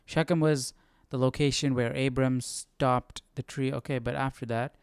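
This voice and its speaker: A man hosting a Bible study, casually thinking aloud as he reads, haltingly asking himself questions. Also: mid-range voice, steady tone, gravelly, clean tone, no echo, clean studio sound. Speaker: host of a live Bible study.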